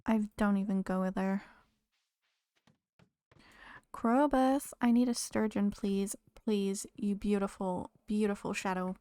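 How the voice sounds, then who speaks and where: A woman's voice: medium pitch, steady tone, unhurried, mellow, dry recording. A woman streaming a game, chatting casually with viewers while losing her voice. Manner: chatty, greets viewers, talks to game characters. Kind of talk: casual live-stream chat while playing.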